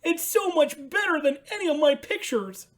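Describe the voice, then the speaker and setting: Woman voicing high, excitable young puppet boy Ernie. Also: normal speed. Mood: excited, wishful. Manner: exclamatory, earnest.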